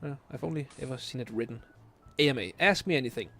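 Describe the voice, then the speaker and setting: A man's voice: mid-range voice, fast talker, gravelly, pushed and bright. A man in his late twenties with a Danish accent, streaming a game late at night and chatting through viewer questions, hesitant with many fillers as he searches for English words. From Denmark. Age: 20s. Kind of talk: casual live stream chat, reading chat questions.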